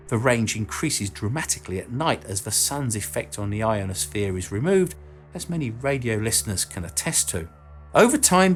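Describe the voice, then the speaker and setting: A man presents a scripted documentary in an average-pitched voice. His delivery is measured and explanatory, laid over faint background music. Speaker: documentary video narrator and presenter.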